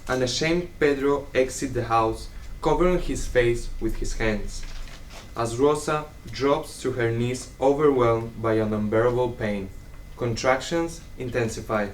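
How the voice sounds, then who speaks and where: A man's voice: mid-range voice, measured pace. Distant young man narrating a play. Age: young adult. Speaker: student narrator. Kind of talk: scripted dramatic narration. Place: live stage performance room. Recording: distant mic, faint room noise.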